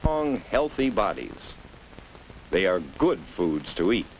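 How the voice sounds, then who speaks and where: A man's voice: deep voice, very animated, slow, a little hiss or hum, rough recording. An American man narrating a scripted 1950s instructional film for children, teaching through storytelling and leading questions, on a vintage soundtrack. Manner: didactic, storytelling, with rhetorical questions.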